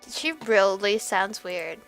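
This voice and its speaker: A woman's voice: mid-range voice, slow, fairly bright tone. A young woman doing casual let's play commentary in a chatty, giggly way. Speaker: gaming video host. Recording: music behind the voice. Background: game music.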